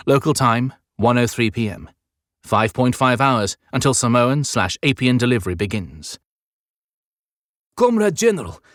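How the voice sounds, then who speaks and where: A man's voice: on the low side, fairly bright tone, no echo, studio quality. A man reading scripted, dramatic audiobook narration.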